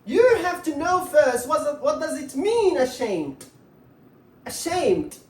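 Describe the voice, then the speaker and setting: A woman's voice: high-pitched, very animated, takes it slowly, darkish tone. A woman teaching an English grammar lesson, repeating herself and putting questions to viewers, saying words one at a time. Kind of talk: grammar lesson to viewers, writing as she explains. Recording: echoey room, distant mic.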